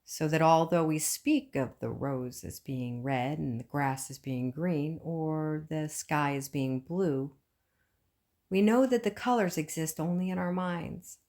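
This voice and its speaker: A woman reading a book aloud as scripted narration, with measured phrasing and frequent pauses. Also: sits low, darkish tone. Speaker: audiobook reader.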